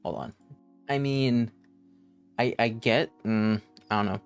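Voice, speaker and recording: A man's voice: mid-range voice, steady tone, slow pace, gravelly, clean tone, soft background music. Young man, casual reactions, mid-range voice. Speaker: reaction video host. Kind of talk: casual unscripted reaction commentary.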